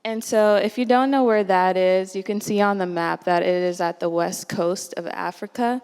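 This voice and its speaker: A woman's voice: medium pitch, fairly even intonation, moderate pace, a little mellow, smooth, long reverb tail. A young American woman, a student giving a friendly, earnest presentation with slides at a forum. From United States. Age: young adult.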